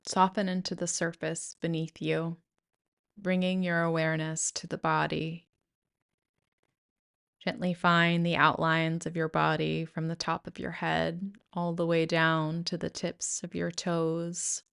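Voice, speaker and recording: A woman guiding a yoga nidra meditation in a soothing, gentle, measured way with pauses. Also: on the low side, monotone, gravelly, smooth. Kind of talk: guided meditation narration.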